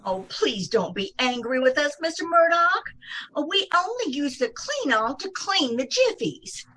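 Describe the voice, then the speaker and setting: A woman playing an excitable, dithering older landlady, fluttery and gushing, in an old-time radio comedy. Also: high-pitched, a little slow, full character voice. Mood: gushing innocence.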